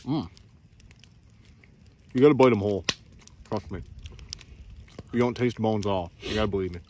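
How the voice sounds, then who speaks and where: A man's voice: fairly low pitch, normal speed, a little mellow, a little hiss or hum. Chatty Newfoundland man outdoors. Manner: enthusiastic, chatty, addresses viewers as guys. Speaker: outdoor vlogger. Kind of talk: casual outdoor vlog chat. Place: outdoors by a river in snow. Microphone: phone or action camera held close.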